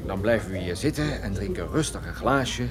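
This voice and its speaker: An actor in a radio play playing a tough, persistent private detective who asks dry, pointed questions. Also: male voice, on the low side, lively intonation, a little fast, music in the background, close to an everyday voice. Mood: matter-of-fact.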